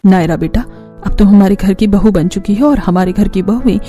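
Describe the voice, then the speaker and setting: A woman voicing a kind middle-aged mother-in-law from a family cartoon. The voice is mellow and mid-pitched, motherly and measured, over light music. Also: natural voice. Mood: reassuring. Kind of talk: cartoon family story dialogue.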